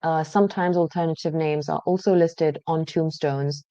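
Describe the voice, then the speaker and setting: A woman presenting a genealogy database, explaining each step in a conversational way with frequent pauses. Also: low-pitched, fairly even intonation, mellow, dry recording, muffled recording. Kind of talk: screen-share database walkthrough.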